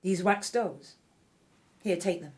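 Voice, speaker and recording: Woman doing a ruthless egyptian queen plotting in a radio drama, lowish voice, bossy and menacing. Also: darkish tone. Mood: scheming, commanding. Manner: imperious, deliberate, menacing. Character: scheming, ruthless ancient Egyptian royal wife, mother. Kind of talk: dramatic audio drama acting.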